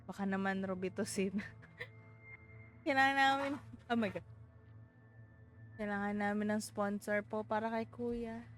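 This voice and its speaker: A woman's voice: medium pitch, fairly even intonation, a little slow, pauses often. A woman streamer, reading a visual novel aloud expressively and dramatically, with casual reactions. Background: background music.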